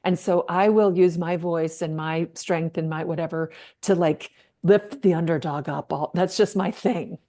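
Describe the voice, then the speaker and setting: A woman's voice: on the low side, steady tone, normal speed, dark tone. A middle-aged woman hosting a casual online chat on a video call, bubbly and emphatic, often laughing. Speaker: host.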